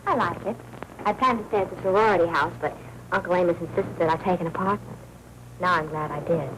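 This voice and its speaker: Woman acting a low-voiced, coy noir young woman. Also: fast talker, fairly bright tone, natural voice. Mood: coy, smooth. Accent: American. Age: young adult. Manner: coy, teasing, pointed. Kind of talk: 1940s film noir acting. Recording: noisy 1940s film soundtrack.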